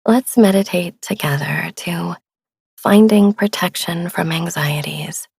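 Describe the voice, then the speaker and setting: A woman narrates guided relaxation softly and without hurry, close to the microphone in a very dry space. Her voice is breathy and on the low side, with noticeable creak. The delivery is gentle and soothing. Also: unhurried, dry recording. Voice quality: soft, breathy. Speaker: host of a sleep meditation podcast. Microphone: close studio microphone, dry.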